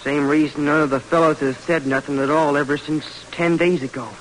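An actor playing a deferential, rustic camp cook on an expedition in an old-time radio drama. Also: male voice, fairly high pitch, steady tone, fairly quick, a bit bright, close to an everyday voice. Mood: wary. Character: timid but loyal young expedition camp cook. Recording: slightly noisy old radio recording.

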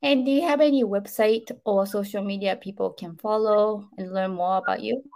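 A woman's voice: mid-range voice, fairly even intonation, normal speed, creaky, darkish tone. A woman hosting a podcast interview, opening the show with formal, scripted introductions. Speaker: podcast host.

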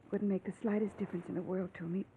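A woman's voice: medium pitch, level tone, rapid-fire, warm tone, slight background noise, lightly acted. An actress playing an earnest, anxious and emotional young woman in a classic radio crime drama. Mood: devoted, urgent. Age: young adult. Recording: muffled, phone-like old radio recording.

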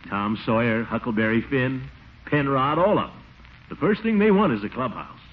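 An actor playing a flustered, defensive middle-aged town commissioner in a radio comedy, with a fruity baritone. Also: male voice, animated, normal speed, close to an everyday voice. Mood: coming around. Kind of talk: old-time radio comedy acting. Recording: muffled, noisy vintage radio recording.

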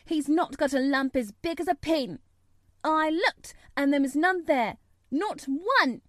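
A woman's voice: sits high, a little slow, dry recording, studio quality, pitched above the performer's own voice. A woman voicing an obstinate, indignant young girl who protests emphatically in a storybook. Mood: fiercely indignant.

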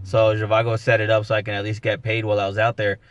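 Low-voiced man telling stories. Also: monotone, quick pace, dull audio. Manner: rambling storytelling with profanity. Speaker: podcast host; comedian. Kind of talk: casual chat.

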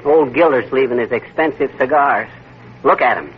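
A man's voice: mid-range voice, normal speed, close to an everyday voice. A man playing a boastful, blustery middle-aged husband who talks brashly, in a 1940s radio comedy. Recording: dull old radio recording, hiss.

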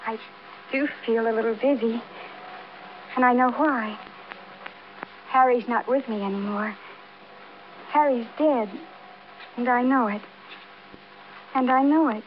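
An actress playing a dazed, tipsy new widow, hesitant, childlike and over-polite in a 1950s radio drama. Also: female voice, fairly high pitch, slow, pauses often. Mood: tipsy, defensive. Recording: muffled vintage radio, faint noise.

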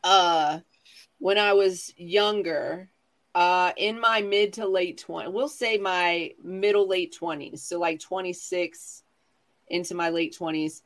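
A man's voice: high-pitched, steady tone, takes it slowly, a bit bright. A man in his forties telling casual comic stories as a chat show guest, sassy, dramatic and self-mocking. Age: 40s.

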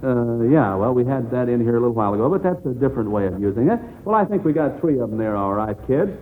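A man's voice: mid-range voice, animated, quick pace, mellow. An American man hosting a 1940s radio quiz in a folksy, encouraging manner. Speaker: quizmaster of a children's quiz show. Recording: muffled old narrow-band radio recording.

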